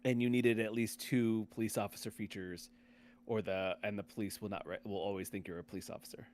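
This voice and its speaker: A man's voice: fairly low pitch, fairly even intonation, fairly quick, darkish tone. A man in a casual game discussion, explaining things in a loose, rambling way. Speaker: podcast host.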